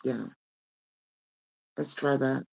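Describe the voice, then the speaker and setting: A woman with a raspy voice, hosting a casual live-stream chat and laughing often. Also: a bass voice, fairly even intonation, extremely slow. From Nova Scotia. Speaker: host. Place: home room with fake northern lights projector.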